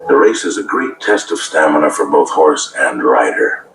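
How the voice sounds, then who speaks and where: A man's voice: rumbling low, expressive, moderate pace, creaky, background noise. A man reading scripted documentary narration, played back from an old video disc.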